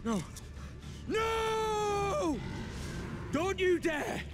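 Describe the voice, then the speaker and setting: Loyal young game hero, very high and anguished, drawn-out delivery over clear music. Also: male voice, lively intonation, raised voice, exaggerated voice. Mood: desperate. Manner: urgent, anguished. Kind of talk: dramatic video game acting.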